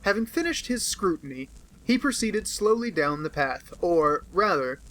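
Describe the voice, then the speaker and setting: A man reading a classic detective novel aloud as a narrator, with measured storytelling. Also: high-pitched, very animated, fairly bright tone, low-quality recording. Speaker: audiobook reader.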